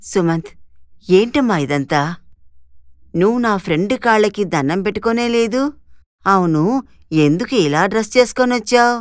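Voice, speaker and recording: A woman's voice: mid-range voice, expressive, normal speed, long pauses, clear, resonant tone, no echo, clean studio sound, natural voice. A woman voicing a bossy, middle-aged mother-in-law in a cartoon moral story. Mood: indignant. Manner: reproachful.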